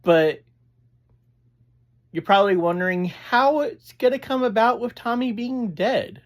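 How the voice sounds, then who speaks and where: A reviewer, chatting casually in a halting, digressive way as host of a review. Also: medium pitch. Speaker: audio drama reviewer, host. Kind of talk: casual rambling review chat.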